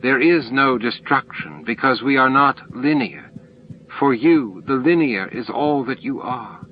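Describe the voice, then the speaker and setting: A man voicing cryptic alien entities in an audiobook, solemn and insistent, with deliberate, stilted phrasing and pauses between words. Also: mid-range voice, highs cut off, slight background noise, pitched above the performer's own voice, close to an everyday voice. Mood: solemn, ominous. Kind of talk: audiobook character dialogue.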